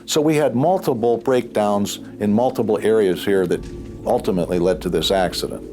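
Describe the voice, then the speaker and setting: A man giving a measured interview account as an investigator. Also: fairly low pitch, background noise. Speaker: accident investigator.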